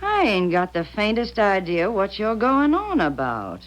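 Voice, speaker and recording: An actress playing a crusty, suspicious old aunt in a radio drama, talking in a gruff, blunt, folksy way. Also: female voice, mid-range voice, huge pitch swings, a little slow, muffled recording. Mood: suspicious, curt. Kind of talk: 1970s radio drama acting.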